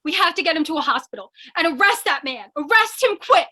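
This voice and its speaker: A woman playing an excitable, melodramatic young newspaper reporter in old-time radio style, with urgent, breathless exclamations. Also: very high-pitched, fast talker, exaggerated voice. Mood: frantic. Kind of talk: old-time radio comedy thriller acting.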